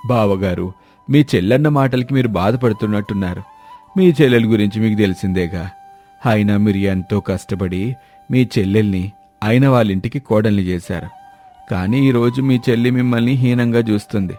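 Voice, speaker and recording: A man plays the bride's considerate father in a moral story. He has a dark, fairly low voice and a courteous, soothing manner, with light music behind. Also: normal speed, natural voice. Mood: conciliatory. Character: considerate, conciliatory brother-in-law, father of the bride.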